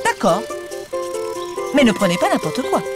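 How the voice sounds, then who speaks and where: A woman's voice: a little high, sing-song, moderate pace. A woman voicing a grumpy, fussy, weary swallow in a preschool cartoon, complaining and demanding in a theatrical way. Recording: music behind the voice.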